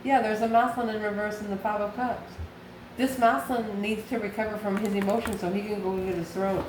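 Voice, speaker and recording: A woman reads tarot cards informally in an echoey room with noticeable background noise. Her voice sits at a medium pitch as she speaks straight to the listener. She muses aloud, posing questions and then answering them herself. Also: steady tone, brisk. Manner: musing, asks and answers own questions. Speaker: tarot reader and channel host. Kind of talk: informal tarot card reading, talking to the viewer.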